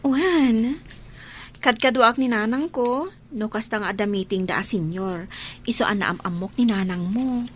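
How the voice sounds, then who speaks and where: A woman's voice: on the high side, measured pace. A woman playing a coy, polite young single Filipino woman being courted in a radio drama. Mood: coy, surprised.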